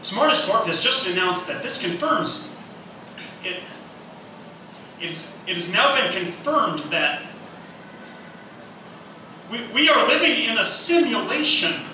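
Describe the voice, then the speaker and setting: Man acting as formal high-pitched male news anchor, echoey. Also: very animated, slow pace, pauses often, sharp tone, heavily acted. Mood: urgent, stunned. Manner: formal announcer delivery. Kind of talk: scripted newscast parody in live radio theatre. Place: live stage performance in echoey room. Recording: echoey hall, distant mic, noisy.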